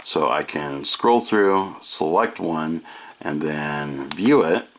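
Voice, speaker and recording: A man's voice: very deep voice, animated, takes it slowly, a little hiss or hum. An American man giving a casual product demonstration, explaining each feature in a matter-of-fact way. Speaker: product reviewer.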